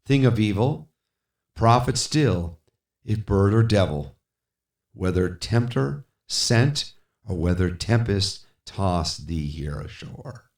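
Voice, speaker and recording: A man's voice: lowish voice, expressive, takes it slowly, a little mellow. A man playing a grief-stricken, haunted man in a gothic poem, theatrical and emphatic as he pleads and rages at a raven. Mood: desperate pleading. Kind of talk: dramatic gothic poetry recitation. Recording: echoey, reverberant voice.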